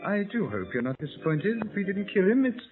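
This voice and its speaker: Lilting, ghoulish horror host. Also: male voice, sits high, big pitch swings, fairly quick, over music. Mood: macabre glee. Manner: arch, darkly humorous, mock-polite. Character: ghoulish, mockingly genteel horror show host. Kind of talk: 1940s radio horror hosting. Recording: tinny old radio broadcast.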